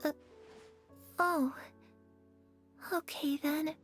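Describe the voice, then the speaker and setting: Very high-voiced, earnest little girl. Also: steady tone, very slow, fairly bright tone, full character voice. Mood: curious, trusting. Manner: childlike, plain-spoken. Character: cheerful, earnest young girl, a ghost from the past. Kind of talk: video game voice acting. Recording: game music under the voice. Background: game music.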